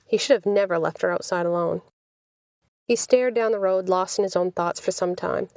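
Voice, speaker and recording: A woman's voice: on the low side, normal speed, gravelly, a little mellow, dry recording, clean studio sound. A woman with an American accent reading a scripted story as an audiobook narrator. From United States.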